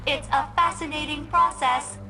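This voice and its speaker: A woman voicing a malfunctioning female ship computer in a video game, split between formal cheer and sullen sarcastic deadpan. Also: high voice, normal speed, faint music behind, rough recording. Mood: chirpy, formal.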